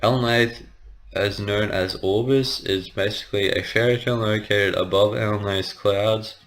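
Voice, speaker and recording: Man, slow pace, lowish voice, casual gaming walkthrough, hesitant, rambling. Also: steady tone, a bit bright. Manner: hesitant, rambling, frequent self-correction. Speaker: gaming video host. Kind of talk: casual let's play commentary, explaining game menus.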